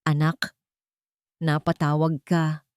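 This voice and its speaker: A woman's voice: low-pitched, completely flat delivery, slow pace, clear, resonant tone, dry recording, clean studio sound. A woman voicing a meek, anxious elderly mother who speaks hesitantly and forces cheer in a dramatic story. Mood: forced cheer.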